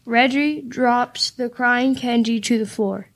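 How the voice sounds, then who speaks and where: A woman's voice: on the high side, slow pace. A woman reading scripted narration for an amateur radio play, with some noise on the recording. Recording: slight background noise.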